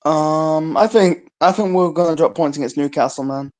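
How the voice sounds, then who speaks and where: Chill guy calling in, rambles, says you know a lot, bit higher voice. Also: normal speed, darkish tone. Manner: laid-back, rambling, lots of fillers. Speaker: caller. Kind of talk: casual chat.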